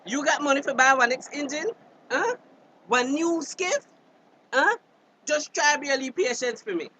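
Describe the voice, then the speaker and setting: A man's voice: extremely high pitch, expressive, moderate pace, pushed and bright, full character voice, rough recording. A man playing an impatient, teasing fisherman in a radio drama, with a blunt Belizean Creole accent. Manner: blunt, bantering. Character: Luke, brash, impatient, teasing fisherman stuck in a storm shelter. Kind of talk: radio drama dialogue in dialect. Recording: drama with faint background noise.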